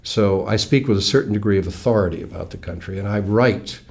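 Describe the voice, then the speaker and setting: An American man in his sixties, a guest author explaining things in a wry, measured way, recorded in a small studio on a lapel mic. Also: fairly low pitch, vocal fry, a little mellow. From United States. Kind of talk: relaxed interview talk. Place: small studio with red curtain.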